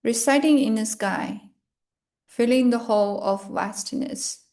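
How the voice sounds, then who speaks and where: A woman leading a guided meditation and reciting prayers in a measured, solemn manner, in short phrases with long pauses. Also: on the high side, warm tone.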